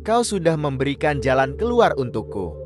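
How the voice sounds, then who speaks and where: A man's voice: mid-range voice, very animated, moderate pace, over music, close to an everyday voice. A man voicing an earnest, humble and determined young peasant in a storybook tale. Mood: excited, grateful.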